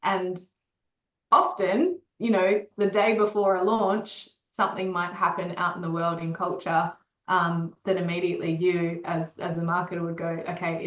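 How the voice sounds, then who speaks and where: A woman's voice: on the low side, fairly bright tone. A woman co-founder and former marketer, talking reflectively with many fillers in a casual interview over a video call. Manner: hesitant, filler-heavy, reflective. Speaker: co-founder of an AI creative tool, ex-marketer. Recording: roomy, dull lo-fi call audio.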